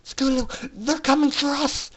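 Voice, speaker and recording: Man voicing a high-pitched, panicked young pony filly. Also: fairly even intonation, normal speed, a bit bright, slight background noise. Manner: panicked, urgent delivery. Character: terrified young female pegasus filly, pleading and fragile. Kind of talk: dramatic fan fiction reading.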